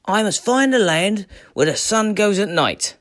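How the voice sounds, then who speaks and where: A man's voice: high-pitched, expressive, measured pace, fairly bright tone, dry recording, clean studio sound, pitched above the performer's own voice. A man voicing a bold, determined Viking captain from a storybook, declaiming in a commanding manner. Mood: resolute. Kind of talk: dramatic storybook character voice.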